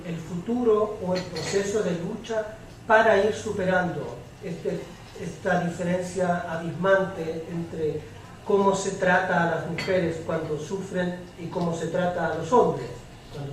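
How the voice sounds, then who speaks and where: A man moderating a panel discussion, putting unscripted questions haltingly as he searches for words. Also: sits high, steady tone. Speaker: moderator of a public debate. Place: echoey room at a public debate. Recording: very echoey room, distant mic, faint noise.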